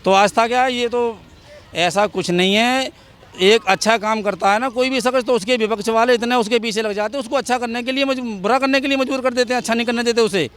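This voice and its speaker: A man's voice: very high-pitched, steady tone, quick pace, a bit bright, clear, resonant tone. A man giving his opinion in a street interview outdoors, speaking emphatically and repeating his points. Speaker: member of the public giving opinion.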